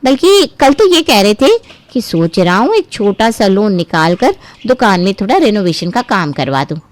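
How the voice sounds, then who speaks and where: A woman's voice: medium pitch, sing-song, moderate pace, no room sound, close to an everyday voice. A woman voicing a greedy, scheming younger sister-in-law in a family cartoon story, ingratiating and smug.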